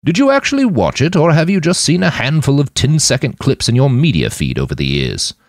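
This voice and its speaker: A man voicing a grave, gruff old man with a gravelly voice in an audiobook story. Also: mid-range voice, very animated, a little fast, no room sound, studio quality, pitched above the performer's own voice. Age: older. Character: grave, gruff old male documentary filmmaker.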